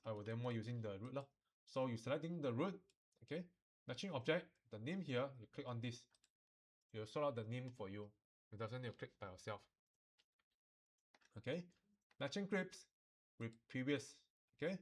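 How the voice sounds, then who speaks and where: A man giving an informal, colloquial walkthrough as a software tutorial instructor. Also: medium pitch. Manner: colloquial, with sentence-final particles and Chinese-English code-switching. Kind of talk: informal screen-recorded software demo.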